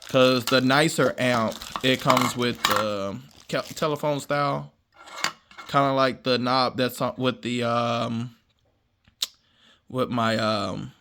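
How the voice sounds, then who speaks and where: A man's voice: medium pitch, noisy background. A man with an American accent chatting in a laid-back, rambling, slangy way like a vlogger showing off gear. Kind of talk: casual unboxing vlog chat.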